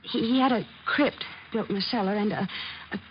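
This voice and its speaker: A woman's voice: medium pitch, a little fast, natural voice. A woman playing an earnest, frightened widow in an old-time radio drama, hesitant and stammering. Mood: anxious, fearful. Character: nervous, earnest wealthy widow, frightened client. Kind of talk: 1940s-50s radio acting. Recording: muffled, hissy old radio recording.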